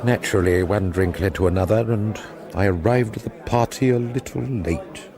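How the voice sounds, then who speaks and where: A man's voice: fairly low pitch, normal speed, crowd noise, lightly acted. An actor playing a rueful, sardonic, boozy painter who pines for his wife, in a radio drama. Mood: wry narration. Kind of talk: radio drama acting with first-person narration.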